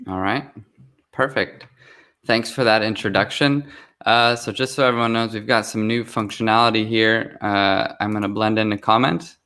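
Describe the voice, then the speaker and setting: A man's voice: on the low side, steady tone, moderate pace, bright, forceful tone, clean tone. A man hosting a live online interview, friendly and upbeat, now and then fumbling his words. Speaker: host. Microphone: video call.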